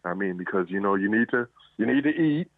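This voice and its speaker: A man's voice: lowish voice, expressive, a little fast, gravelly, no echo. A man answering interview questions as an author, in a reflective, conversational way, often saying "you know". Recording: muffled, phone-like line.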